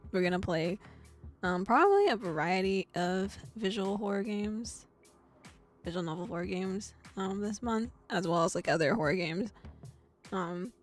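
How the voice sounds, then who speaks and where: A bubbly young woman chats casually to her stream, giggling and rambling between thoughts. Her voice sits at an ordinary middle pitch, close to a desk mic. Faint game music plays underneath. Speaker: VTuber streamer.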